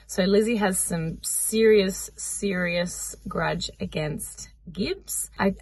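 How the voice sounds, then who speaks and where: A woman's voice: lowish voice, creaky, husky. A woman book vlogger earnestly recapping the plot of a novel she is reading.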